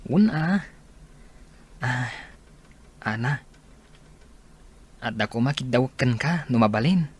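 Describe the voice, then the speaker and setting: A man's voice: medium pitch, lively intonation, takes it slowly, slightly rough. A man playing an earnest, hesitant young male student and suitor in a radio drama. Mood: shy.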